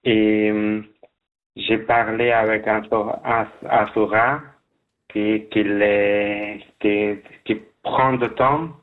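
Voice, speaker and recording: A man guesting on a radio show, telling his story haltingly with many restarts, heard over a phone line. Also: lowish voice, level tone. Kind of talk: halting personal account.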